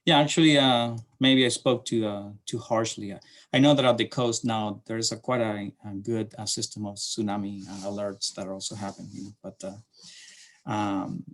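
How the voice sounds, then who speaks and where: A middle-aged man from Chile with a Chilean Spanish accent, giving a slide presentation to a class in a halting way with many fillers and restarts. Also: fairly low pitch. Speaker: city transportation planner presenting to a class.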